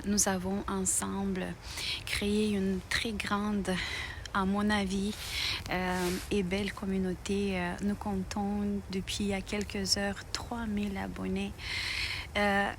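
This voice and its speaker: Middle-aged woman w romanian accent, lots of euh and restarts, pretty monotone. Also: mid-range voice, slight background noise. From Moldova. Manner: halting, many fillers and restarts. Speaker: knitting channel host. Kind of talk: personal thank-you video.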